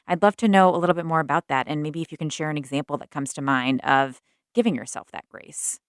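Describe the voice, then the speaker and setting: A woman hosting a podcast, asking guests gentle, searching questions and introducing clips. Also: low voice, fast talker, smooth, no echo, studio quality. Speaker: podcast host. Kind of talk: interviewing and narrating.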